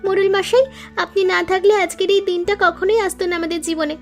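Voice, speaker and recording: Woman as hardworking young sister, very high pitch, earnest, grateful, cartoon moral tale, faint music. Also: fairly even intonation, quick pace. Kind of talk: cartoon moral story voice acting. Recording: music under the voice.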